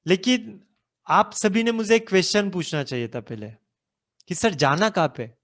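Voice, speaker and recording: High-voiced motivational coach, a man. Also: sing-song, normal speed, fairly bright tone. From India. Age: 30s. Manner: mixes Hindi and English, asks the listeners rhetorical questions. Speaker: business coach. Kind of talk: motivational talk.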